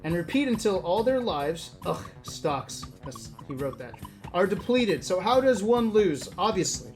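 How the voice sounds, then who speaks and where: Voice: medium pitch, big pitch swings, unhurried. Wry streamer reading aloud over music. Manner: wry, addresses viewers directly, breaks off to comment. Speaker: livestreamer reading a book aloud with commentary. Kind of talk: casual read-aloud with off-the-cuff asides. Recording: music playing behind the voice. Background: background music.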